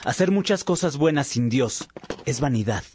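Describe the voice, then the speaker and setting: A man's voice: medium pitch, lively intonation, moderate pace, darkish tone, a little hiss or hum, lightly acted. A man playing a concerned, frank bishop and loyal friend in a religious radio drama. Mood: gently admonishing.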